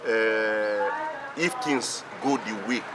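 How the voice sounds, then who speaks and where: A man's voice: on the low side, slow pace, heavy background noise. An actor playing a senior police officer lecturing his men, with a Nigerian accent. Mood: stern. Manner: authoritative, lecturing.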